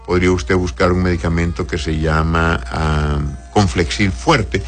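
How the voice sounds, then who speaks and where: A man's voice: deep voice, steady tone, unhurried, soft background music. A man speaking as a doctor hosting an advice show, conversational and avuncular, answering listeners with endearments and frequent checking tags. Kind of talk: conversational medical advice, reading messages aloud.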